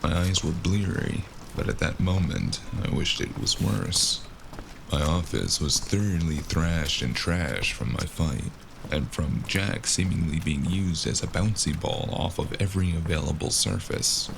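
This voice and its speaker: Man playing a deep, gravelly, battered noir narrator. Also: unhurried, background noise. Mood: weary. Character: weary, battered male noir investigator narrating his ordeal. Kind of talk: first-person noir audio drama narration.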